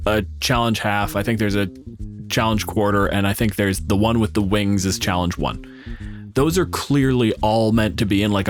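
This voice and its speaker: A man chatting casually as a co-host, explaining his opinions in a blunt, sweary way. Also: fairly low pitch. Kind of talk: casual opinionated panel chat.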